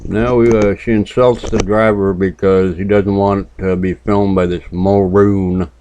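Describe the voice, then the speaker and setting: A man's voice: deep voice, little pitch movement, measured pace, mellow, lo-fi sound, a little hiss or hum. A man from New Hampshire hosting a casual reaction commentary channel, making dry, sardonic remarks. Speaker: YouTube commentary host.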